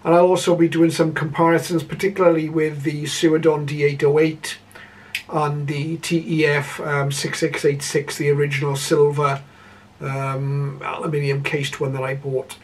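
An older man introducing a radio hobby video casually, in a measured, hesitant way, in a room at home. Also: fairly high pitch, level tone, a little hiss or hum. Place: indoor room full of radio equipment. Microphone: camera a short distance away. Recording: slightly distant camera microphone.

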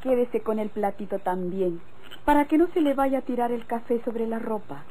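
A woman's voice: on the high side, normal speed, dark tone, natural voice. A woman acting a coy, polite young country woman with a Mexican accent in a golden-age radio drama. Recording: faintly noisy old radio recording.

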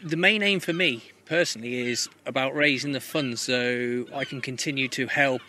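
A team member answering interview questions in a hesitant, halting way. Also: male voice, mid-range voice, pushed and bright, a little hiss or hum.

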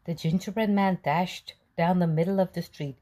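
A woman narrating a children's picture book as a read-aloud storyteller, in an expressive, deliberate manner. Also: fairly low pitch.

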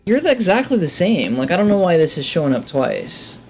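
A man's voice: high-pitched, very animated, a little fast, darkish tone, slight background noise. A man giving casual, offhand gameplay commentary, musing aloud to himself into a headset mic. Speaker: gaming let's player. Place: indoors at a desk.

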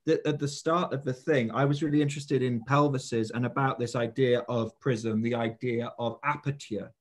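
A man in his thirties speaking on a video call from his living room, musing in a measured way during a literary conversation. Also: mid-range voice, fairly even intonation. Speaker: author in panel conversation.

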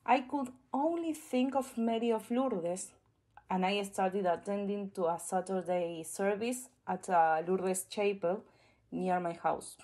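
A woman with an Argentine Spanish accent speaks English as a second language, unhurried and deliberate. She sounds nervous and a little shy, choosing her words with care as she tells her own story. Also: medium pitch, warm tone. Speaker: guest sharing a testimony. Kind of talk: personal testimony.

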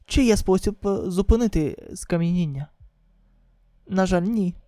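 A woman voicing a grave, measured news expert giving a formal report in a manga drama. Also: on the low side, dark tone, dry recording, clean studio sound, lightly acted.

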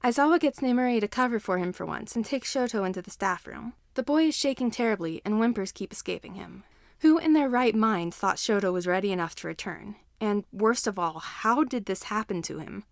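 A woman reading fan fiction aloud as an expressive scripted story narration. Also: mid-range voice, brisk, no echo, studio quality.

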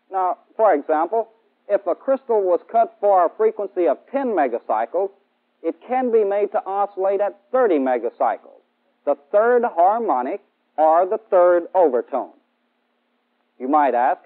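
American guy teaching like a 60s military training film, pretty high voice, thin narrow old soundtrack. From United States. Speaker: military electronics instructor. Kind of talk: scripted lecture in a 1960s training film. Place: indoor studio set. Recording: band-limited old 1960s film soundtrack.

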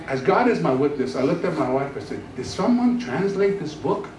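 Animated middle-aged pastor telling his story. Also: on the high side, a little fast, crowd noise. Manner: animated storytelling, acts out dialogue. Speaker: guest pastor. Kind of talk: testimony sermon. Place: church stage, indoors. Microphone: handheld mic. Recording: echoey room from far off.

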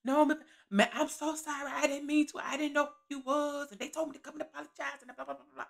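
A woman voicing a man caught breaking into a truck, begging and apologizing in a whiny, higher voice. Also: fairly even intonation, fast talker, gravelly. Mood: pleading.

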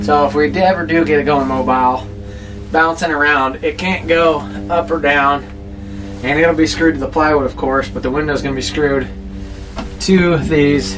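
Male DIY vlogger, folksy and self-deprecating, slightly high voice, casual working chatter, recorded at a distance over clear noise. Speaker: host of a DIY outdoors build vlog. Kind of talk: casual talking to camera while working.

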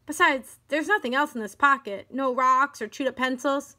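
An eager little living doll from a children's storybook, read by a woman. The voice is high and bright, with an expressive, urgent manner. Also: moderate pace. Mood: reassuring. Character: small living girl doll, eager, adventurous, easily alarmed.

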